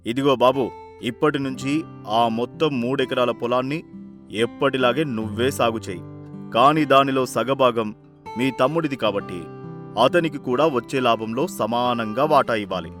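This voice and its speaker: A man's voice: mid-range voice, normal speed, fairly bright tone, soft background music, natural voice. A man playing an authoritative, caring older village father who instructs and scolds in a cartoon moral story. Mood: firm, advising. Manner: firm, instructive. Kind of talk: animated moral-story voice acting.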